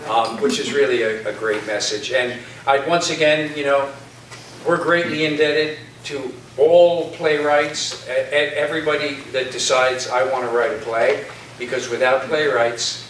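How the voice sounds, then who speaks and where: Male host, informal and halting, thanking a crowd from far off the mic in a reverberant hall. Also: medium pitch, steady tone, fairly bright tone. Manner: rambling, conversational, halting. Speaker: host of a staged reading. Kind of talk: informal closing thanks to an audience. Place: hall at a community center. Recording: echoey hall, distant mic, audience noise, hiss.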